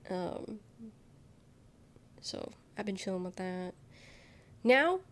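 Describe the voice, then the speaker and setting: A woman's voice: medium pitch, lively intonation, takes it slowly. A young woman livestreamer chatting casually with viewers, her voice nasal and worn from being sick, rambling as she reads chat aloud. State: sick, congested voice.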